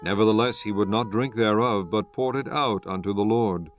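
A man narrating scripture from a script in a measured, formal manner. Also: lowish voice. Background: faint music.

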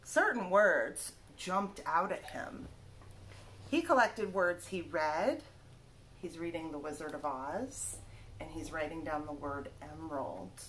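A woman reads a picture book aloud for children at a very unhurried pace. Her pitch is middling but moves around a lot, and she draws out key words with care. There is faint noise behind her in a home room. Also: animated, fairly bright tone, poor audio. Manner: careful, drawn-out word-by-word emphasis. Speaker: teacher reading aloud to children. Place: small living room, indoors.

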